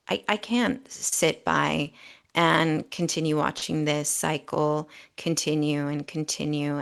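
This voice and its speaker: A middle-aged woman co-hosting a podcast, speaking in an earnest, emphatic, conversational way with deliberate phrasing. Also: deep voice, vocal fry. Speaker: podcast co-host. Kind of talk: conversational commentary.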